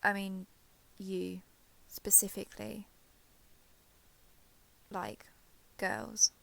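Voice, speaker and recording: A woman's voice: mid-range voice, level tone, gravelly, fairly bright tone, exaggerated voice, rough recording. A woman playing a shy, guarded villain turned reluctant ally in a roleplay audio drama, speaking hesitantly and with reserve. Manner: hesitant, halting, reserved, understated. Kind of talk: roleplay audio drama, intimate one-sided dialogue.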